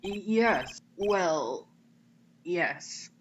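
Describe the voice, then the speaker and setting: A man's voice: sits high, expressive, extremely slow, noisy background, heavily acted. A man voicing an earnest young lawyer in a video game fan dub, stammering when flustered and given to wry asides. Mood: nervous, hesitant. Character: earnest young male lawyer, nervous, wryly exasperated.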